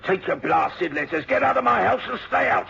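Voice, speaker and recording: An actor playing a hot-tempered, defiant old father in a radio drama, with a gravelly voice and a blustering, emphatic manner. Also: high-pitched, lively intonation, brisk, exaggerated voice. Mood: furious. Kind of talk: British radio drama acting. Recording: muffled, noisy old radio recording.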